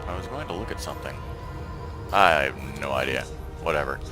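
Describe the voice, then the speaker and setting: A man's voice: extremely low pitch, fairly quick, music in the background. A man streaming a space game, chatting casually and thinking aloud as he plays. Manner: relaxed, thinks aloud and trails off. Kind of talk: casual gameplay chat.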